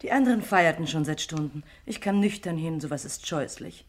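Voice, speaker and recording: A jaded young party girl giving testimony in a radio crime play. Her voice is low and husky, and her answers are blunt, terse and matter-of-fact. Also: lively intonation, fairly quick. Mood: jaded, certain. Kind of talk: German radio crime drama acting.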